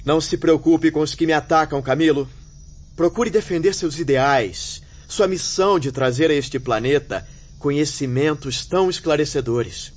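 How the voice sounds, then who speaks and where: A man playing a kind, steadfast spirit medium in a radio soap, speaking in an earnest, gentle, formal way. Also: a little high, normal speed, lightly acted. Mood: consoling, steadfast. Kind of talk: dramatic radio soap acting. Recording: muffled radio drama sound.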